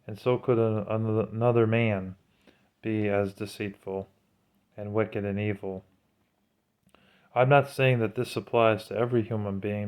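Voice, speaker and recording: A man's voice: lowish voice, a little mellow, clean tone. A man giving an informal religious talk in an earnest, preachy manner. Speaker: religious commentator.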